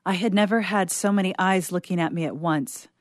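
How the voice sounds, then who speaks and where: A woman acts a grave doctor telling a suspense story in the first person. The voice is measured and solemn, a little low, with a dry, intimate sound. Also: no room sound, lightly acted. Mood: grave, uneasy. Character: uneasy, secretly sinister doctor testifying as sole survivor.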